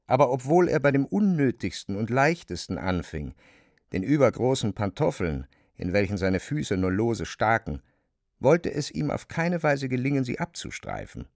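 An actor as the observant narrator of a bleak village tale, reading scripted literary narration in a German radio play. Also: male voice, medium pitch, big pitch swings, moderate pace, creaky, darkish tone, no room sound, clean studio sound.

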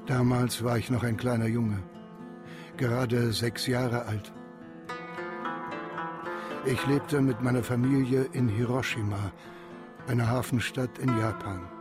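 A male actor plays a reflective man looking back on his boyhood. He narrates very slowly at a medium pitch, as in a radio play, with loud guitar music underneath. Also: steady tone, close to an everyday voice. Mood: wistful, reflective. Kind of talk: scripted first-person radio drama narration.

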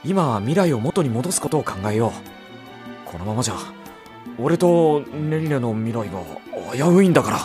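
A man's voice: medium pitch, lively intonation, normal speed, over music, close to an everyday voice. An actor playing an earnest, kindly teenage boy hero in a Japanese audio drama, with casual, sincere delivery. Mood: concerned. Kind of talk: Japanese visual novel audio drama acting, with narration.

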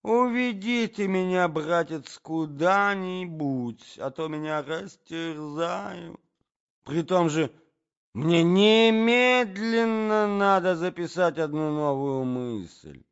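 A man's voice: fairly high pitch, slow pace, clear, resonant tone, highs cut off, voice pushed up. A man voicing a bewildered, vain old prince in a storybook reading, muddled and plaintive, with affected aristocratic manners. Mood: plaintive distress. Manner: muddled, plaintive, lingering on words, affected French phrases.

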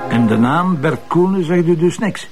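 An actor playing a gruff, impatient police commissioner in a TV crime drama, blunt and sarcastic, with a Flemish accent. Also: male voice, on the high side, lively intonation, normal speed, distant mic, over music, close to an everyday voice. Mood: cool, probing. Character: gruff, irritable male police commissioner with a sharp tongue. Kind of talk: naturalistic TV crime drama acting.

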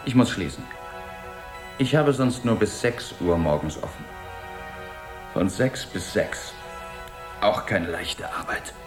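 Low-voiced, measured shopkeeper, roomy sound. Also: male voice, lively intonation, over music. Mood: businesslike calm. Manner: measured, courteous, matter-of-fact. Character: unhurried, matter-of-fact male dream merchant, quietly uncanny. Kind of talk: 1970s German-language radio drama acting.

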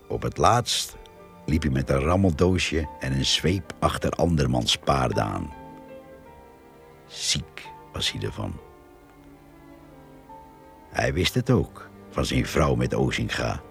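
An actor playing a grave old countryman who reminisces slowly and with resignation in a radio play. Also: a bass voice, gravelly, full character voice. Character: grave old countryman recalling his neighbour with slow melancholy. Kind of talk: Dutch radio play monologue.

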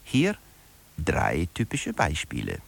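A wry, pedantic theorist in a satirical radio play. The voice is deep and full of fry, and it moves animatedly up and down. He lectures deliberately, with theatrical emphasis on key words. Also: huge pitch swings, full character voice. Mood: expository. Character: male education scholar and theorist, wry, pedantic, expounding his theory. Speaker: actor playing the theorist-author in an audio drama. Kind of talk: scripted first-person lecture-like narration.

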